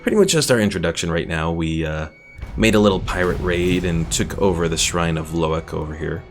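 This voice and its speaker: A man's voice: sits low, brisk, faint music behind. A man giving casual, chatty live commentary on a game he plays and reading out viewer comments. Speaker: game streamer.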